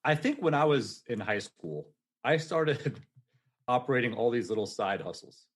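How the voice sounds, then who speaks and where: Upbeat forty-year-old guest, laughing often. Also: male voice, medium pitch, normal speed. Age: 40s. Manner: energetic, laughs easily, casual. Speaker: podcast guest. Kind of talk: casual storytelling interview.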